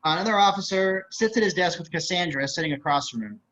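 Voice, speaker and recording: Brisk, bright man reading stage directions. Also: high-pitched, a little hiss or hum. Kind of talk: scripted narration, screenplay table read. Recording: slightly dull video-call audio.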